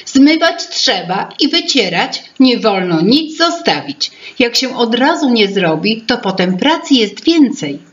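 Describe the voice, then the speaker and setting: A woman plays a bossy little storybook lady who fusses over everything, pitched up from the storyteller's voice. She is stern and emphatic, forever exasperated, with a slightly dull recorded sound. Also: sing-song, a little slow, few pauses. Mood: stern, insistent. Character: bossy, fussy female fairy-tale creature of remembering.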